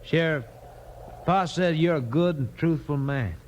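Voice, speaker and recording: An actor playing an unruffled, polite young mountain sharpshooter with a quiet American backwoods drawl on 1950s radio. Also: male voice, a little high, unhurried, lightly acted. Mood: cool, polite. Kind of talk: 1950s western radio acting. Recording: dull, faintly noisy old radio recording.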